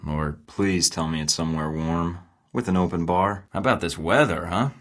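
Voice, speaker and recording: An actor voicing a confident young American secret agent in a video game, with a wry, cocky way of delivering dry one-liners. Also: male voice, low-pitched, normal speed, lightly acted. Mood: casual. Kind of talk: game voice acting.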